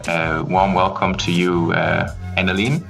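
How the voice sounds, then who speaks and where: Brief: male podcast host, low voice with a bright edge, halting and friendly-casual, faint music under. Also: level tone, vocal fry. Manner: hesitant, many fillers. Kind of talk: casual interview.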